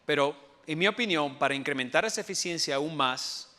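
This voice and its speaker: Venezuelan male presenter at a conference, bright voice, halting delivery, echoing hall. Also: a little high, animated. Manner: hesitant, many fillers and restarts. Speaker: enterprise architect. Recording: long hall reverb.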